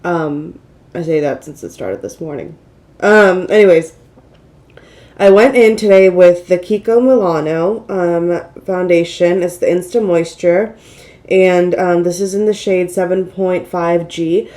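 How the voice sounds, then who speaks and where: Slightly congested, mellow-voiced woman vlogger. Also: fairly low pitch, measured pace. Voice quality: slightly nasal. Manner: chatty, rambling, self-deprecating, exclaiming. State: mildly congested from a cold. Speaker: beauty vlogger, channel host. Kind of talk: casual get-ready-with-me makeup chat.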